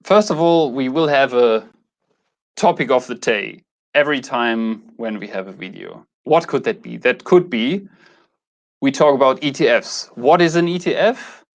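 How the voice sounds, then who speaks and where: A man's voice: mid-range voice, expressive, measured pace. A man in his mid-thirties with a German accent, talking casually to camera as a YouTube host, a little hesitant and correcting himself as he goes. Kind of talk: casual talk to camera, introducing a channel.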